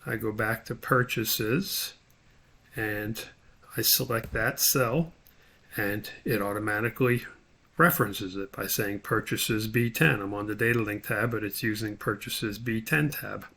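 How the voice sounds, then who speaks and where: A man's voice: on the low side, fairly even intonation, unhurried, gravelly, bright, forceful tone, a little hiss or hum. A man giving an informal screen tutorial as an instructor, thinking aloud step by step as he demonstrates.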